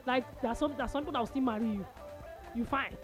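A woman's voice: on the high side, fast, music in the background. A woman with a Nigerian accent hosting a radio sports show, teasing her co-host and reading listener texts in pidgin-laced English.

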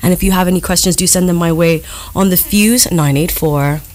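A woman's voice: lowish voice, fairly quick, a little mellow, soft background music. A woman from Kenya hosting an interview, asking questions in an enthusiastic, affirming manner. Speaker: interview host.

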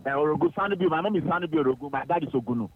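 A man's voice: on the high side, rapid-fire, husky, no echo. A man from Lagos with a Nigerian accent, chatting casually as a phone-in caller. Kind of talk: casual chat mixing English and Pidgin. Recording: narrowband tinny phone line.

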